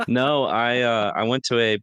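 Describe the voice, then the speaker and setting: Smooth, low-key male co-host. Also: fairly even intonation, moderate pace, a bit bright, no room sound. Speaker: podcast co-host. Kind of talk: casual chat.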